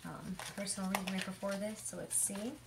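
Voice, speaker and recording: Hoarse young woman chatting casually. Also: mid-range voice, little pitch movement, fairly quick, background noise. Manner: chatty, rambling, many fillers. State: hoarse from illness. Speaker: tarot reader. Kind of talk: casual tarot reading monologue.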